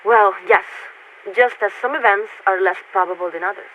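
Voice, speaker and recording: A woman playing a polite, formal military radio signaler in a video game, speaking in a measured, patient, officious way through a radio filter. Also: mid-range voice. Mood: patient explaining. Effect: radio transmission filter. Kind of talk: scripted game voice acting. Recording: tinny narrow-band radio with static.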